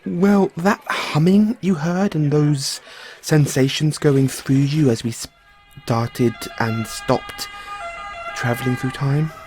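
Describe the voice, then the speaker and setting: A man playing a nervous, geeky mad scientist boyfriend in an audio roleplay, explaining things haltingly to his partner. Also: mid-range voice, a little mellow, slightly rough. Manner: hesitant, stammering, explaining at length. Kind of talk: audio roleplay acting, addressing the listener as partner.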